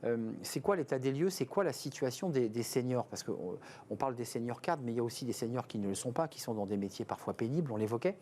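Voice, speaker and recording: Mellow-voiced man asking probing questions. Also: mid-range voice, lively intonation, fast talker, a little hiss or hum. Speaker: host, interviewer. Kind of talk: radio interview questions.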